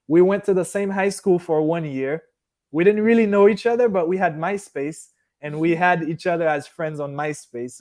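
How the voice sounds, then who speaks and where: Man, early thirties, from Haiti, slightly high voice, live stream host, casual, rambling, conversational. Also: darkish tone. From Haiti, moved to Miami at fourteen. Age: early 30s. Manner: rambling, loses and regains his thread. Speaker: vlogger hosting a live stream. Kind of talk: casual chat, answering live comments.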